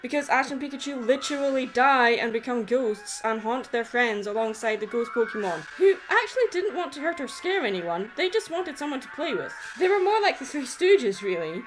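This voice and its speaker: A woman narrating a scripted video essay in an expressive way, with conversational asides. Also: sits high, rough recording. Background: background music.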